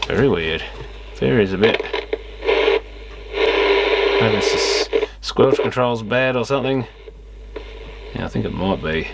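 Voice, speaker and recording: Male, lowish voice, casual hands-on vlogger muttering as he tinkers; noisy camera-mic audio with clicks. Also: animated, unhurried, vocal fry, long pauses. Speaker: electronics repair vlogger. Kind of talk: casual off-the-cuff commentary while inspecting gear. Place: electronics repair workshop.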